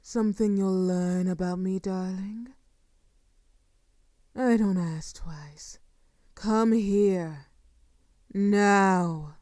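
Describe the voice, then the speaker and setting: A woman performs a sadistic vampire mistress for an intimate audio roleplay. She speaks extremely slowly in a dark, velvety tone, recorded close and dry. She is possessive and commanding yet mock-tender, often sinking into a breathy whisper. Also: mid-range voice, no echo. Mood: commanding, gloating. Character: sadistic, seductive female vampire, possessive and doting.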